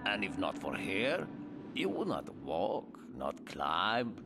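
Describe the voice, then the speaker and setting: An actor playing a solemn, protective older desert guide in a video game, speaking like a philosophical storyteller with a Russian accent. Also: male voice, low voice, wildly swooping pitch, slow, very noisy, heavily acted. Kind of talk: video game character dialogue. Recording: loud music under the voice.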